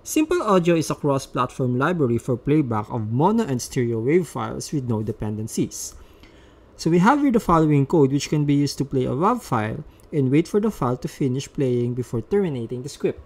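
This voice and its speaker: A man's voice: medium pitch, darkish tone. A man presenting a step-by-step instructional screencast as a tutorial narrator.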